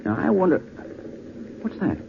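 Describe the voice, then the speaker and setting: An actor playing a composed, shrewd gentleman detective, brisk and self-assured, in 1940s radio style. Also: on the high side, big pitch swings, vocal fry, phone-quality sound. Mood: alert, decisive. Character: shrewd, composed gentleman amateur sleuth, adult man. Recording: thin, noisy old 1940s radio recording.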